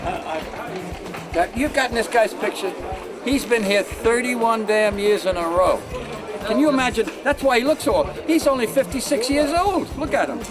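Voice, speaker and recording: A man going around a tournament interviewing players, loud and joking, with music playing under him. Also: very high-pitched, expressive, normal speed, crowd noise. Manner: joking, boisterous. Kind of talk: loud roving street interview.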